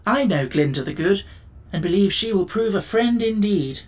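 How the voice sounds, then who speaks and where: A soft-hearted tin man from a children's story, as a narrator puts him on, speaks in a raised voice. He is earnest, sympathetic and a little proud. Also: mid-range voice, expressive, normal speed, pitched above the performer's own voice, natural voice.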